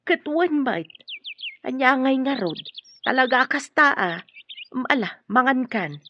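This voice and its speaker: A woman's voice: sits high, lively intonation, takes it slowly, sharp tone, heavily acted. A woman playing a deferential, concerned household helper in an Ilocano radio drama, respectful and coaxing. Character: deferential, concerned female household helper, plain-spoken and curious. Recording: muffled radio drama recording, faint hiss.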